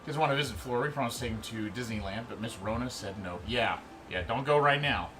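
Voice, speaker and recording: A man in his thirties hosting a livestream in a relaxed, rambling way, chatting and reading out viewer comments. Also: mid-range voice, quick pace, music in the background. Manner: relaxed, rambling, answers chat as he goes. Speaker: livestream host. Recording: steady background noise.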